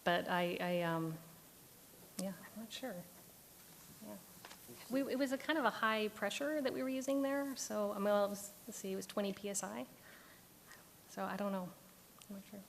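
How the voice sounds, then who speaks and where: A woman's voice: mid-range voice, moderate pace, gravelly, pauses often. A woman presenter answering questions informally and off the cuff, in a tentative, hedging way. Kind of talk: informal, unscripted Q&A. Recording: faint hiss and background noise.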